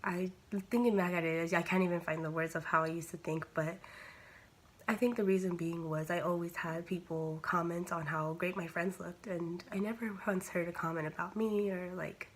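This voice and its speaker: A young woman with a slightly raspy voice from a cold, talking candidly and casually in a personal vlog. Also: lowish voice, fairly even intonation, moderate pace, low-quality recording. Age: young adult. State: recovering from a cold. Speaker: vlogger. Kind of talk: casual personal talk to camera. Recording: clear background noise, homemade vlog.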